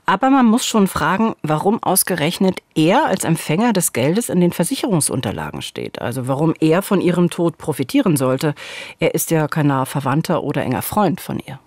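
A woman's voice: deep voice, very animated, fairly quick, a little mellow, no echo. A woman in her forties co-presenting a true-crime case in conversational, scripted narration on a studio microphone. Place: indoor radio studio. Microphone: studio boom microphone.

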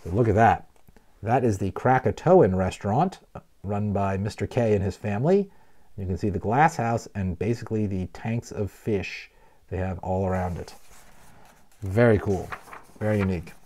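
A man's voice: lowish voice, normal speed, mellow. A man reading a children's book aloud as a storyteller, expressively, with casual asides.

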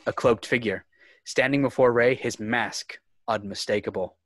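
A man's voice: medium pitch, moderate pace, fairly bright tone, no room sound. A man reading scripted screenplay narration, describing scenes and action. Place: indoors on a home video call.

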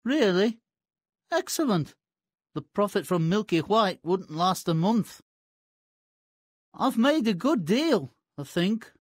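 A woman's voice: medium pitch, very animated, takes it slowly, long pauses, breathy edge, no room sound, studio quality, heavily acted. A woman voicing a lazy, cheeky young peasant boy in a fairy-tale video game, with a nonchalant manner. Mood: pleased. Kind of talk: video game character acting.

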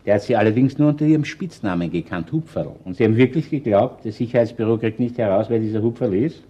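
A shrewd Viennese police inspector in a radio crime play. He has a low voice and an Austrian accent, and he questions people with measured authority. The old recording sounds dull. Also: warm tone, breathy edge. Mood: probing. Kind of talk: radio play acting, natural dialogue.

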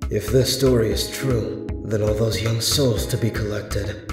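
A solemn, bitter reaper, acted by a man talks in slow, measured phrases. His grave comic dub delivery echoes with music behind it. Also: lowish voice, close to an everyday voice. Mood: grave, brooding. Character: solemn, brooding male reaper, bitter about human love. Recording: roomy echo with music behind.

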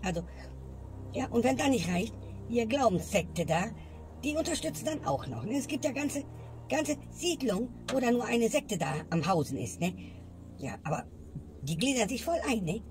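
A woman's voice: on the high side, sing-song, normal speed, noisy background. A woman ranting in a comedy sketch and ending her lines with a questioning tag. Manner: grumbling, tags lines with "ne?". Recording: music bed behind voice. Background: music.

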